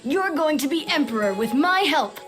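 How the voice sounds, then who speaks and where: An actress voicing a bold, loyal, energetic young boy in an anime audio drama, confident and emphatic. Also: high-pitched, moderate pace. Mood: confident, eager. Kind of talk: English-dub anime audio drama acting. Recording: loud music under the voice. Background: loud music.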